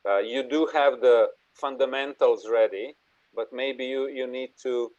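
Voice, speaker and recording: A man's voice: medium pitch, fairly even intonation, a little slow, a little mellow, no room sound. Man presenting over dull call audio. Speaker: invited speaker presenting an AI institute. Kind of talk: conference presentation and Q&A. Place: online video conference.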